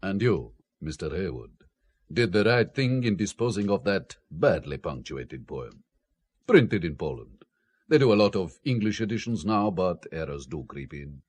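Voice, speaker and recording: A man's voice: sits low, sing-song, normal speed, a little mellow. A man playing a sly, mock-gallant communist colonel in a radio spy drama.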